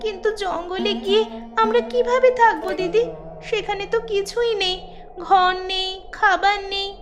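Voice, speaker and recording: A woman's voice: very high-pitched, steady tone, measured pace, music in the background. A woman voicing a sick, frail younger sister in a cartoon, pleading and tearful. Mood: frightened. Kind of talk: cartoon voice acting.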